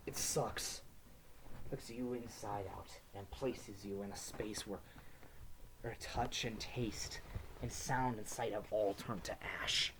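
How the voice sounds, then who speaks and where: A young man giving a somber dramatic reading of first-person fiction, his phrases sometimes dropping to a hush. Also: on the low side, fairly even intonation, slow pace, background noise. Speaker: student reader.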